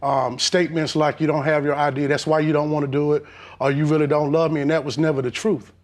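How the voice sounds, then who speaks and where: Hesitant, defensive man, higher-pitched. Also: flat delivery, fast talker. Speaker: litigant on a court show. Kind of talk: courtroom testimony.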